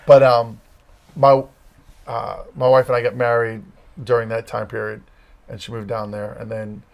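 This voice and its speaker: A man's voice: mid-range voice, level tone, normal speed, vocal fry, muffled recording. Middle-aged man with a Massachusetts accent. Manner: rambling, storytelling, many fillers. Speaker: campus ministry leader, guest. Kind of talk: casual interview chat.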